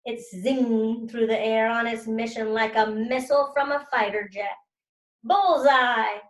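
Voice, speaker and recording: A woman with a slightly high voice reads aloud and comments as a teacher would for children. She is expressive and puts weight on key words. The sound is distinctly roomy. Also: moderate pace.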